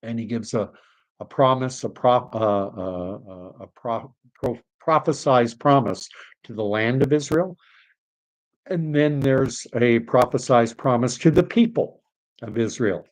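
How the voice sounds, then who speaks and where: A man's voice: medium pitch, measured pace, rough recording. A middle-aged man from Canada hosting a live stream, rambling with many fillers as he reads chat comments, his voice wearing out. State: losing his voice.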